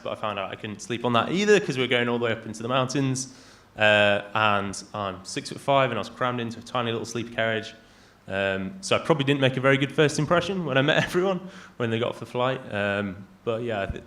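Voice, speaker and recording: A man's voice: fairly low pitch, fairly bright tone. A young man giving a casual travel talk to an audience, hesitant and full of ums, with self-deprecating asides. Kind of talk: casual live presentation. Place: event venue in front of an audience.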